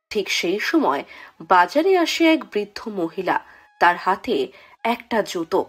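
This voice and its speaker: A woman narrator reading a scripted children's fairy tale. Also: medium pitch.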